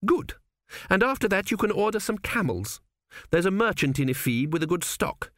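Man voicing an imperious young queen, high and snappish. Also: sing-song, normal speed, a bit bright, no echo, studio quality. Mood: commanding, sour. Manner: sour, snapping, commanding. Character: imperious young queen, blunt, snappish, newly self-assured.

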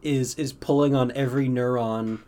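A man's voice: mid-range voice, steady tone, normal speed, dark tone. A man playing a tabletop roleplaying game, narrating casually and rambling as he improvises, slipping into bits of dialogue.

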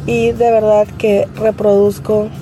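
A woman's voice: medium pitch, level tone, measured pace, over music, rough recording. A woman leaving a casual voice message as a podcast listener.

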